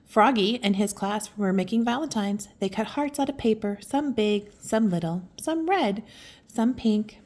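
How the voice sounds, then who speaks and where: A woman reading a picture book aloud to children, affectionate and expressive, with chatty asides and exclamations. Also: mid-range voice. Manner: affectionate, gasps and exclaims in reaction to the story. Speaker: children's story reader and host.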